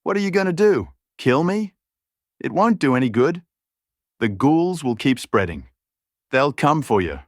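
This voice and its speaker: A man's voice: a little high, big pitch swings, measured pace, pauses often, no room sound, clean studio sound, voice pushed up. A man voicing a bitter, mocking porcelain guardsman, a murderous villain with an aggrieved, taunting way of talking in an audiobook story. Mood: mocking, gloating.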